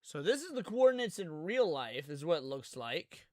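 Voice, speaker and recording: A man's voice: fairly high pitch, big pitch swings, moderate pace, a little mellow, dry recording, studio quality. A man giving casual live reaction commentary to viewers, thinking aloud in an informal way. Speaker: streamer reacting to a video series.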